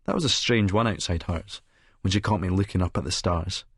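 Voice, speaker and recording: An actor with a Scottish accent narrating an audiobook as a cynical, vain Edinburgh publican, smug, sardonic and wordy. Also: male voice, sits low, a little fast, fairly bright tone, slightly rough, no room sound, studio quality, heavily acted. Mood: smug, calculating. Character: cynical, vain male Edinburgh publican and schemer, smugly self-satisfied. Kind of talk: first-person audiobook narration in character.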